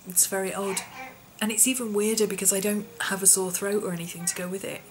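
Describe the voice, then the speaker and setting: A woman, her voice hoarse and husky from an infection, chatting casually in a vlog with lots of ums and small laughs. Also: mid-range voice, fairly even intonation, fast talker, background noise. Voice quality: husky, often whispery. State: hoarse, voice partly lost from infection. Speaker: vlogger.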